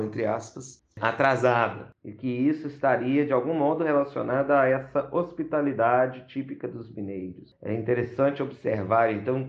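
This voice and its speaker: A man, a professor, giving a measured academic explanation in an interview. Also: mid-range voice. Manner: measured, hedging academic phrasing. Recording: dull, roomy recording.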